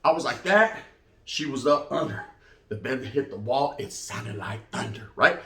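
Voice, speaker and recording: A man's voice: medium pitch, animated, moderate pace, a bit bright. A man with a Chicano Californian American accent telling a comedic story casually, in a streetwise, slangy way peppered with Spanish words. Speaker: comic storyteller. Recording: roomy, echoey sound.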